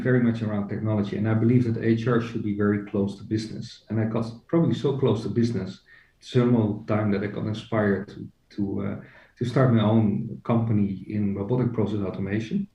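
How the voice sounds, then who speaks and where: A man's voice: lowish voice, warm tone. A middle-aged man, an HR executive and company co-founder, talking as a podcast guest, hesitant with many fillers and restarts. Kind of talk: casual interview. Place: joining remotely from home. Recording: roomy, distant, dull remote audio.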